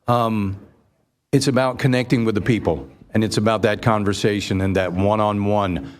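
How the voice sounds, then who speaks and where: A man's voice: on the low side, steady tone, normal speed. An American man hosting a live radio show, talking to an audience in an effusive, rambling way.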